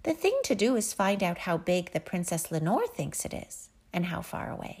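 A woman voicing a playful, clever young court jester in a storybook reading, bright, gentle and bouncy. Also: on the low side, big pitch swings, normal speed, husky, full character voice.

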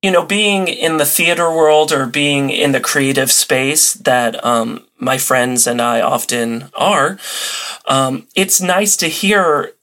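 A man's voice: a little high, takes it slowly, pushed and bright. A man chatting casually and enthusiastically as a podcast guest in an interview.

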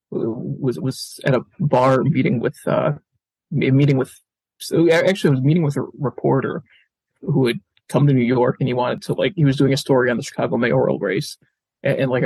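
A man chatting as a podcast guest in a halting, stammering way. His voice is low-keyed in energy, rough with fry and husky at the edges. The sound is dry, with little room around it. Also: darkish tone, no echo, rough recording. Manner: halting, stammering, repeats words. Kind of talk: casual interview talk. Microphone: video call.

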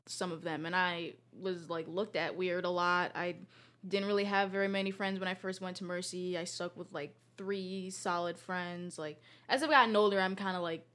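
A woman's voice: on the low side, fairly even intonation, normal speed, a bit bright. A teenage girl in casual conversation, chatty and laughing often, with many "like" fillers. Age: teens. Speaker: guest. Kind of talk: casual chat.

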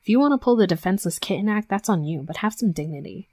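A woman's voice: medium pitch, lively intonation, fast talker, a little mellow, dry recording, lightly acted. A woman voicing a tough young female werewolf bully in an intimate roleplay audio drama, brash and sweary but grudgingly tender. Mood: gruff, scolding.

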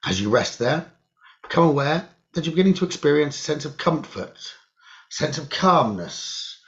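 A man leading a guided meditation in a slow, soothing instructional style, speaking in measured, hushed short phrases with pauses. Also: a little high, sing-song, highs cut off.

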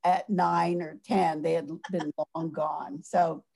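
A woman from Hawaii, a guest in a casual interview, warm and effusive as she talks. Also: low voice, little pitch movement, takes it slowly, gravelly.

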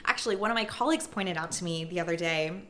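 A young woman talks casually in a slightly low but bright, forward voice. She is thoughtful and pauses often, restarting her sentences. Her fillers make it sound unscripted. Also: a little hiss or hum. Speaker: prosecutor, podcast guest. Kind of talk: casual conversation.

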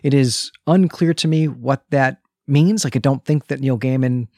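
A man's voice: medium pitch, normal speed, a little mellow, dry recording. Man co-hosting, in a dry, close recording. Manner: enthusiastic, opinionated. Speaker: podcast co-host. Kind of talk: conversational album review.

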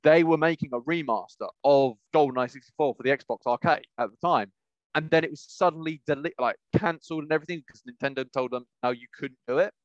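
A man's voice: a little high, quick pace, dry recording, highs cut off. A British man co-hosting a podcast in casual chat, rambling and often correcting himself as he goes. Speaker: podcast co-host.

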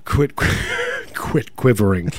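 A man co-hosting a podcast, sounding stuffed up and nasal, riffing in a deadpan, casual way and trailing off. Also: mid-range voice, slow pace, slight background noise. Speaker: podcast co-host. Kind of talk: casual banter.

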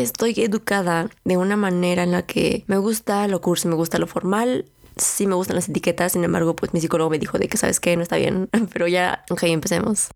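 A young woman with a Mexican accent hosting her own podcast, her voice a little hoarse from the cold, talking in a chatty, rambling way with fillers. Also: fairly low pitch, a little mellow. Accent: Mexican Spanish. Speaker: podcast host. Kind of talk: casual solo podcast monologue.

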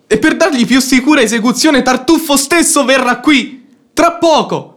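An actor playing an earnest, anxious gentleman in a radio drama, speaking in a formal, grave and urgent manner. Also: very high-pitched, moderate pace. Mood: grave distress.

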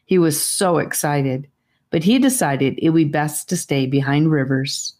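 A woman's voice: low voice, measured pace, a little mellow. A woman reading a children's fantasy adventure aloud as a scripted audiobook narration.

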